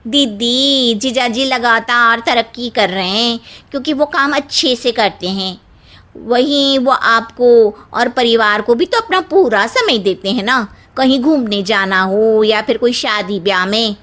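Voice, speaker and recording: Woman playing a bright, high-voiced younger cousin. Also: unhurried. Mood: wistful, complaining. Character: polite, chatty younger married female cousin, well-off. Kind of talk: dramatised Hindi family storytelling.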